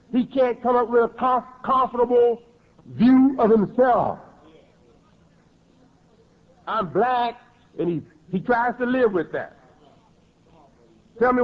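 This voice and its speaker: A man's voice: very high-pitched, phone-quality sound. A man preaching a sermon in an emphatic way, repeating and rephrasing his words as he builds each point.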